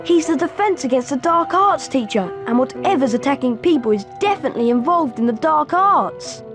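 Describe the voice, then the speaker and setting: A woman's voice: high voice, expressive, brisk, over music. A woman voicing an earnest, determined schoolboy wizard of about twelve in a video game.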